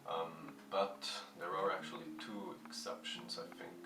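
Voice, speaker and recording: A man with a very low, deep voice talks haltingly and shyly, stopping often on fillers. He sounds some way off the mic in a roomy space, with music playing clearly behind him. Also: little pitch movement. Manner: shy, halting, many fillers and restarts. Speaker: musician answering fan questions. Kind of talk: hesitant casual talk to camera. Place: at home. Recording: echoey room, mic at a distance, music playing.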